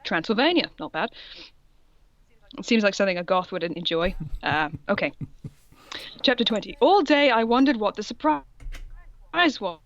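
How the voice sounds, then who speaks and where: A woman co-host reads a story aloud with mock drama and drops in sarcastic asides. Her voice sits at a middling pitch, captured very dry, with a slightly dull, lo-fi sound. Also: dry recording. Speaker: podcast co-host reading fan fiction aloud. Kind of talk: comedic dramatic reading with casual commentary.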